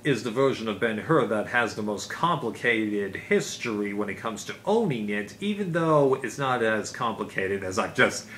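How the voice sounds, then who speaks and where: A man's voice: fairly low pitch, expressive, normal speed, hardly any pauses, fairly bright tone. A man giving a casual, unscripted review as a video host, explaining things in a rambling way. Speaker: video host, DVD collector. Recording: clear background noise.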